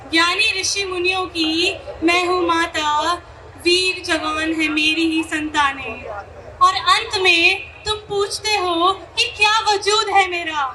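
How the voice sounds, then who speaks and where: A woman's voice: very high-pitched, bright, forceful tone, a little hiss or hum, low-quality recording. A woman performing dramatic slam poetry, declaiming and repeating lines for emphasis. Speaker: poet performer. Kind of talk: dramatic slam poetry recitation as Mother Earth.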